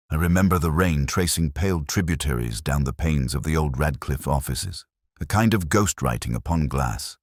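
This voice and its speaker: Male actor as brooding older detective for audio drama narration; gravely low register with expressive swings, ruminative and measured. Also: dry recording, studio quality, exaggerated voice. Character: ageing, reflective, melancholy English police detective. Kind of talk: literary first-person detective monologue.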